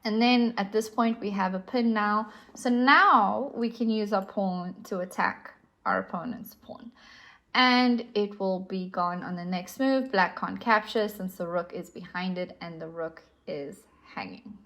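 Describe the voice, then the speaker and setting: A young woman with a South African accent teaches chess at an unhurried pace, patiently talking through moves with the odd filler. Her voice sits at an ordinary pitch for a woman. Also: a bit bright, dull audio. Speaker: chess instructor. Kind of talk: explaining chess moves on a board.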